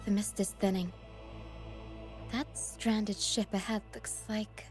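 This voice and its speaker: A woman playing a solemn, watchful young guide in a fantasy video game, speaking in a measured, earnest way. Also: medium pitch, steady tone, husky, no echo, faint music behind. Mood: wary. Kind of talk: scripted video game dialogue.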